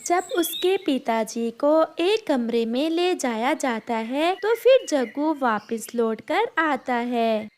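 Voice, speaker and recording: Woman telling a cartoon story, very high pitched voice, really slow scripted narration. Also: very animated, slight background noise.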